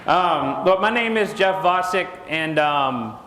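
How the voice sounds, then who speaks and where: A middle-aged Texan man speaks to a conference audience in a folksy, joking way and keeps throwing questions at them. His voice sits a bit high for a man. A lapel mic picks him up in a large hall with a long, echoing reverb. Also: lively intonation, slow pace, sharp tone, slight background noise. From Texas. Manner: folksy, jokey, asks the crowd questions. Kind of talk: joking seminar talk to an audience.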